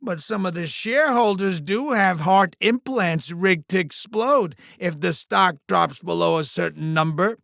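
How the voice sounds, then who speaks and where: High-pitched crass alien showbiz mogul. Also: male voice, gravelly, fairly bright tone, dry recording, clean studio sound, full character voice. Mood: pushy, scheming. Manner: bombastic, fast-talking huckster, foul-mouthed, falsely chummy. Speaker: arena show promoter. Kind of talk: video game voice acting.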